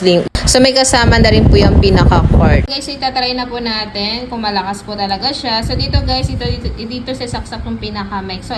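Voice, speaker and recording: A Filipino woman with a fairly high voice demonstrates a product in a casual, chatty selling style. She keeps checking in with her viewers as she goes. There is clear background noise on the recording. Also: rough recording. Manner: chatty, repeats filler tags and addresses viewers. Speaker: product reviewer and live seller. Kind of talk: casual product demo and sales pitch.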